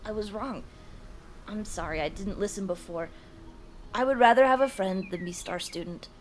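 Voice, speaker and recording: A woman's voice: medium pitch, moderate pace, a little hiss or hum, close to an everyday voice. A woman playing an earnest alien disguised as a preteen boy, with formal, stilted wording, in a children's audio drama. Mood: apologetic.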